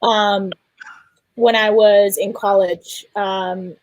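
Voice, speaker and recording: A woman's voice: mid-range voice, little pitch movement, very slow, a little mellow, no room sound, dull audio. A woman telling a personal story as a filmmaker guest in an interview, in a hesitant manner. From Iran or of Iranian family. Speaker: filmmaker guest on a festival panel.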